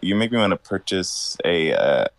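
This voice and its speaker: A man joining a podcast as a guest, sick with a raspy voice, shy and apologetic. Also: sits low, sing-song, normal speed.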